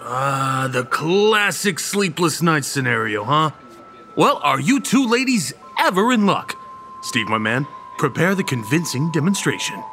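A young man acting a goofy college stoner with showy salesman patter and theatrical, drawn-out emphasis. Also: a little high, big pitch swings, bright, forceful tone, soft background music, exaggerated voice. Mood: sly, eager. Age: young adult. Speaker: actor in audio drama. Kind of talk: theatrical dialogue in old time radio style audio play.

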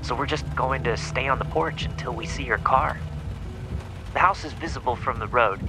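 A man's voice: medium pitch, moderate pace, over music, natural voice. A man playing a hesitant, apologetic lost camper on a phone call in a horror audio drama. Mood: apologetic, uneasy. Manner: hesitant, sheepish.

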